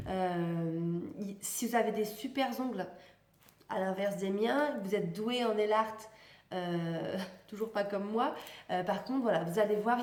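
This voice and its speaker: A woman's voice: mid-range voice, a little mellow, reverberant. A young woman with a stuffy nose from a cold, chatting casually and rambling as she tests beauty products in a vlog. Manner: chatty, rambling, self-correcting, laughs often. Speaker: beauty vlogger.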